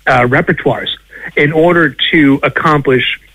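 A man's voice: a little high, unhurried, bright, forceful tone, no room sound, low-quality recording. A man with an American accent tinged with Irish, explaining things conversationally as an academic guest, in a hedging, discursive way. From Boston, United States. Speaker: linguistics professor, radio guest.

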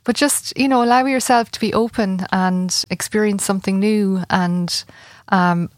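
A woman in her late forties with an Irish accent, a festival organiser talking as a guest in a casual interview, with many pauses and fillers. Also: medium pitch, fairly even intonation, no echo, studio quality. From Ireland. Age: 40s. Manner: hesitant, many fillers and restarts. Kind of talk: conversational radio interview.

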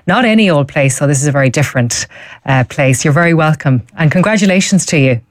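A woman's voice: low voice, lively intonation, fast talker, dry recording. A woman hosting a studio radio interview, asking conversational questions. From Ireland. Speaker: radio host.

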